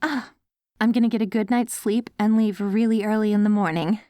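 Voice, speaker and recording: A woman's voice: mid-range voice, steady tone, a little fast, warm tone, no echo, natural voice. A woman playing a devoted, hopeful wife tenderly coaxing her zombie husband in an ASMR audio roleplay. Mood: hopeful, excited. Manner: tender, coaxing, speaks directly to a loved one.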